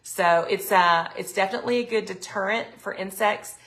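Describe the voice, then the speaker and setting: A middle-aged woman, chatting casually on a live sales stream, her throat a little raspy from allergies. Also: medium pitch, normal speed, fairly bright tone. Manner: chatty, lots of ums, reads viewer comments aloud. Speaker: product consultant hosting a live sales stream.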